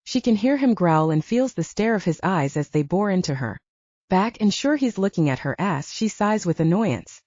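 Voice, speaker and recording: A woman's voice: mid-range voice, lively intonation, moderate pace, darkish tone, no room sound, lo-fi sound. A woman reading an audiobook, narrating dramatic fiction in a scripted style.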